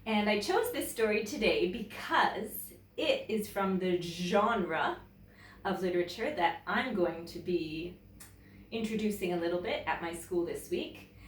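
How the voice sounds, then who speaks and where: A woman with a Canadian accent, a teacher presenting and reading a children's story aloud in an expressive, deliberate way. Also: mid-range voice, distant mic, a little hiss or hum. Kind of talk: children's storybook read-aloud with casual introduction. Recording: roomy echo, away from the mic.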